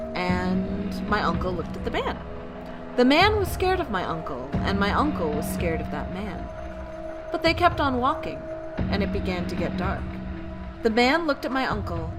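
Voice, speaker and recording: A woman narrating scary tales as a bedtime storyteller, theatrical and full of suspense. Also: medium pitch. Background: background music.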